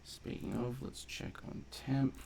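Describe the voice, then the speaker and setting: A man's voice: medium pitch, level tone, takes it slowly, gravelly, noisy background. A man giving casual gameplay commentary, thinking aloud in a laid-back, colloquial mutter. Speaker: gameplay video host.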